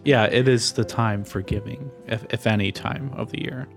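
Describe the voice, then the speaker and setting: A man hosting a casual interview, asking questions in a gentle, encouraging way. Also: medium pitch, fairly even intonation, normal speed, creaky, music in the background. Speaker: host interviewer.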